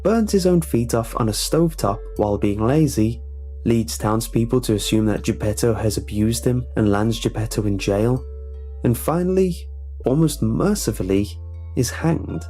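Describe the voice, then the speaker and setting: Man, dark mellow voice, medium pitch, scripted narrator, measured polished reading, music underneath. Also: expressive. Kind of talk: scripted documentary-style narration. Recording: music playing behind the voice. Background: background music.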